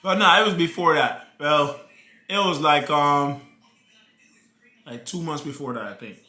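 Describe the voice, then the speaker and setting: A man's voice: on the high side, a little slow, sharp tone, echoey room. A man in his thirties, a vlog co-host, chatting casually and teasing his partner in a slangy, laid-back way. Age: 30s. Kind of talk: casual couple Q&A chat.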